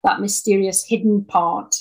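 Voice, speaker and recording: An older woman, over sixty, talking reflectively in an interview, thoughtful and halting as she repeats words. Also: mid-range voice, steady tone, vocal fry, darkish tone, rough recording. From Scotland. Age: 60s or older. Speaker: interview guest, audio dramatist.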